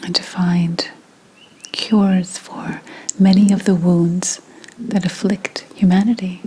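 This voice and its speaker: A woman playing a proud, secretive 17th-century witch healer, whispering slowly and formally in an ASMR roleplay. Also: fairly low pitch, level tone, low-quality recording. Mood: solemn, wounded pride. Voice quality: breathy unvoiced whisper. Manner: deliberate, hushed, archly formal phrasing.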